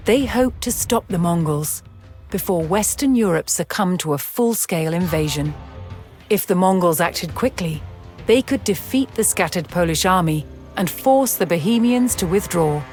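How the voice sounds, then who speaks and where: A woman reading scripted documentary-style narration in a measured, solemn and dramatic storytelling manner. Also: on the low side, a little mellow. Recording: music behind the voice.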